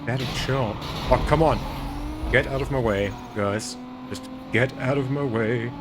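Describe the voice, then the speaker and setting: A man's voice: medium pitch, measured pace. A man from Germany with a light German accent, casually chatting with viewers while streaming a racing game. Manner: relaxed, chatty, greets and thanks viewers. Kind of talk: casual gaming commentary to chat. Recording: game car noise loud behind voice. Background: racing game engine and traffic sounds.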